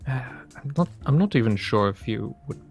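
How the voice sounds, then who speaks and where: A man playing a dry, skeptical demigod camper in improvised Minecraft roleplay, speaking in a hesitant, deadpan way. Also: mid-range voice, normal speed, gravelly, faint music behind.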